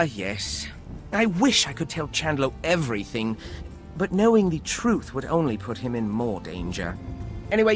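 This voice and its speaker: A man's voice: fairly high pitch, pushed and bright, exaggerated voice. A man voicing a paranoid, formal-speaking creature engineer in a video game, with a theatrical, old-fashioned manner. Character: paranoid fussy male creature engineer, secretive.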